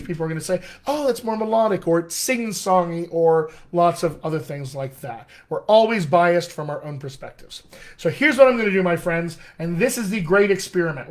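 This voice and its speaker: A middle-aged American man, a dialect coach, teaching a live online class in an animated, friendly way, often calling his viewers "my friends.". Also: on the high side. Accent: American English. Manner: animated, addresses viewers as "my friends", chuckles at own asides. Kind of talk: live-streamed teaching session.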